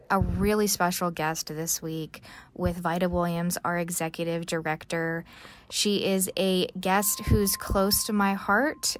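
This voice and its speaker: A woman's voice: fairly low pitch, a little hiss or hum. A woman podcast host giving an enthusiastic, admiring introduction to a guest.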